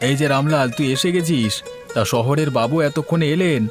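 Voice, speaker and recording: A man's voice: on the high side, fairly quick, soft background music, lightly acted. A man playing a fretful, hospitable village householder in a cartoon, homely and exclamatory in his talk. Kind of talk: cartoon dialogue.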